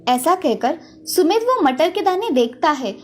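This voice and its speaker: A woman's voice: very high voice, big pitch swings, a little slow. A woman narrating a scripted children's story as a storyteller.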